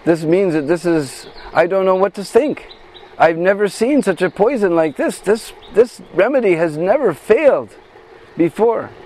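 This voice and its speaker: Man playing a bossy woman healer, high-ish voice, slow. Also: animated, darkish tone, a little hiss or hum. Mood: commanding. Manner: urgent, commanding. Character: confident woman healer, a god in disguise.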